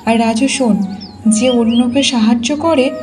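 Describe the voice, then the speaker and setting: Soft high-voiced aging village mother played by a woman. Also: steady tone, a little slow, soft background music, natural voice. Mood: gentle, earnest. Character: kindly aging village mother, gentle and weary. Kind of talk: Bengali cartoon voice acting.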